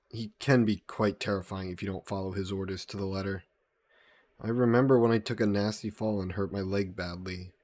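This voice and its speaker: A man playing a gentle, guilt-burdened vampire caretaker in an intimate audio roleplay, speaking politely and formally with some hesitation. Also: lowish voice, no echo, close to an everyday voice. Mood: wry, chatty. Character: gentle, guilt-burdened male vampire employer caring for a guest.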